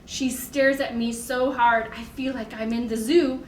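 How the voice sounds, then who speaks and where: High-voiced, slow-paced woman leading toddler storytime. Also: a little hiss or hum. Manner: animated, sing-song, encouraging, simple short phrases for toddlers. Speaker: children's librarian leading storytime. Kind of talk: toddler storytime, singing-along instruction and read-aloud. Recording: echoey room.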